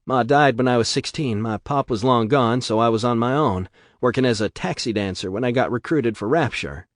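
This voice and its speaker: A man's voice: mid-range voice, brisk, dry recording, clean studio sound, lightly acted. A man voicing a weary, streetwise young woman who talks in a slangy way with a raised, lighter voice. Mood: bitter.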